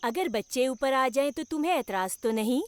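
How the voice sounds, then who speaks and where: High-voiced, excitable schoolteacher. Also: female voice, normal speed, crowd noise. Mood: coaxing. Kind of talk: animated children's cartoon dubbing. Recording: loud music behind.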